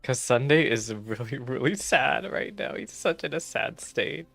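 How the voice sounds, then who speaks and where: A man's voice: medium pitch, a little slow, creaky, fairly bright tone. A man giving casual, teasing live reactions as a game streamer, chatty and joking.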